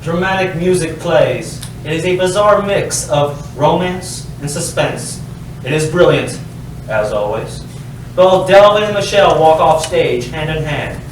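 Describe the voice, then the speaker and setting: A man's voice: fairly high pitch, animated, measured pace. Man reading stage directions, very echoey. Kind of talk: scripted dramatic narration of stage directions. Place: live staged reading in a roomy space. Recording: very echoey noisy room, distant mic.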